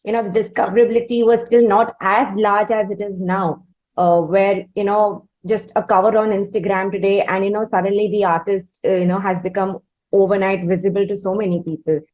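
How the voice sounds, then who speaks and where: A woman with an Indian accent hosting an interview, building up wordy, halting questions in a conversational way. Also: mid-range voice, steady tone. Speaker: interviewer, host. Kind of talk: conversational long-form interviewing. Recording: slightly dull, lo-fi audio.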